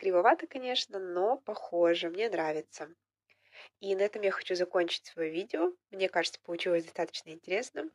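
A young woman with a slightly hoarse voice from a cold, casually narrating her clay crafting at home in a relaxed, self-ironic way. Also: lowish voice, a little fast, no echo, clean studio sound. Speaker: vlogger, polymer clay crafter. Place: indoors at home, at a table.

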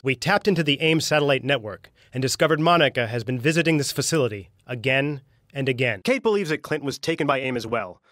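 A man's voice: mid-range voice, no echo, close to an everyday voice. A male actor plays a middle-aged scientist who was once a superhero. He speaks in earnest, articulate sentences with serious purpose, as in a dramatic video game. The sound is close and dry. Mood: urgent, grave.

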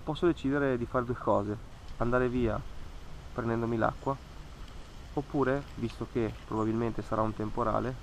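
A man's voice: medium pitch, fairly even intonation, moderate pace, slight background noise, poor audio. A man in his thirties narrating a fishing vlog in an earnest, cautionary way, repeating words for emphasis. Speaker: fishing vlogger. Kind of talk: reflective voice-over narration. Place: outdoors by a lake among trees.

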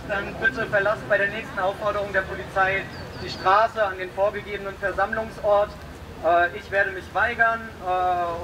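High-voiced man at a distance outdoors. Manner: informal, hesitant, many fillers. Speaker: protest organizer giving a speech. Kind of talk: outdoor megaphone speech. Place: street blockade outdoors. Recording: distant outdoor recording with street noise.

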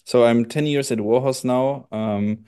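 A man in his thirties from Germany with a German accent, answering interview questions on a video call in a relaxed, hesitant way with many fillers. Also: medium pitch, measured pace, smooth. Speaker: studio PR manager. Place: small room indoors. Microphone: headset on a video call.

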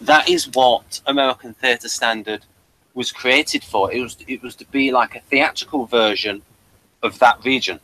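Halting man chatting about television. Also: mid-range voice, bright, forceful tone, rough recording. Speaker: podcast host. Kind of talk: casual TV episode commentary chat.

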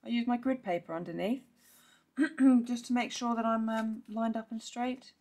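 A woman with a croaky voice, chatting through a step-by-step card-making tutorial and clearing her throat now and then. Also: fairly high pitch, fairly even intonation, normal speed, darkish tone. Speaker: papercraft demonstrator. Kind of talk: casual step-by-step craft tutorial.

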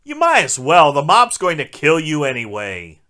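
A man's voice: a little high, huge pitch swings, normal speed, sharp tone. A man recapping a film as a podcast host, with crude, sarcastic wisecracks.

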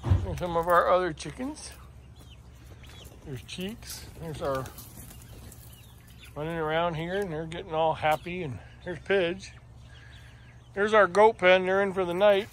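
High-pitched American man chatting on a farm. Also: a little slow, pauses often, a bit bright. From Michigan, United States. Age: middle-aged. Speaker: farm vlogger. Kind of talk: casual unscripted narration of farm chores. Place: open barn and farmyard. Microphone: handheld phone camera. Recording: noisy handheld farm recording with poultry. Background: clucking chickens, birds, outdoor ambience.

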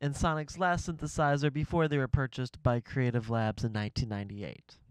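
A man's voice: medium pitch, fairly even intonation, a little fast, clean tone, no echo. A man in his thirties talking casually to camera as he demonstrates a synthesizer in a home studio. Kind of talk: casual gear demo talk to camera. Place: small home music studio, indoors.

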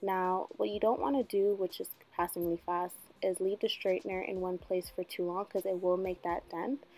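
A young woman in her twenties giving a casual hair tutorial at home, chatty and joking, with a slightly husky voice that she calls a smoker's voice. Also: on the low side, steady tone, normal speed, darkish tone. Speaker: beauty vlogger. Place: bedroom at home, indoors.

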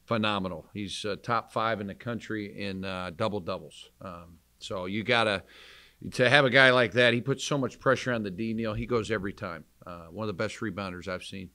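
Low-voiced American man, a coach at a presser. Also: pushed and bright. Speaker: college basketball head coach. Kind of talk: postgame press conference answers. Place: indoor press conference room, seated at table. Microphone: microphone on table stand.

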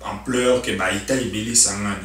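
A man's voice: fairly low pitch, fairly even intonation, fast talker, a bit bright. A young man from Congo, a musician guest in a casual interview, talking animatedly with many hesitations, filmed on a sofa in a living-room set. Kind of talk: casual interview in French mixed with Lingala. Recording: echoey room, mic at a distance.

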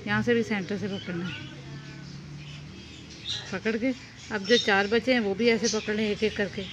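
A woman, calmly explaining a home cooking recipe step by step while she works. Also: medium pitch, normal speed. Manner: calm, instructive, talks while working with hands. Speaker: home cooking channel host. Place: home kitchen. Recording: loud background noise, birds chirping.

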